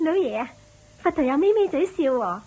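A woman's voice: high-pitched, big pitch swings, brisk, dark tone, poor audio. A woman playing a worried, pleading farm woman in a Cantonese radio drama. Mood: tattling. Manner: anxious, whiny, pleading.

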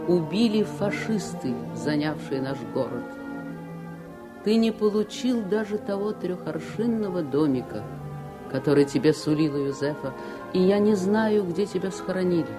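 An actress playing a grown daughter narrating her childhood in a radio play, sincere, measured and heartfelt. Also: on the low side, close to an everyday voice. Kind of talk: dramatic first-person radio narration. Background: music.

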